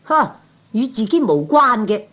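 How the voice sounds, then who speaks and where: A man voicing a naive, excitable male gambler's sidekick with a querulous, eager manner in a Cantonese 1960s radio comedy. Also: high voice, measured pace, heavily acted. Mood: grumbling.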